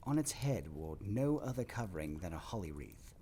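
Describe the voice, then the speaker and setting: A man narrating a dramatic story from a script in an expressive, theatrical manner. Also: low-pitched, normal speed, slight background noise.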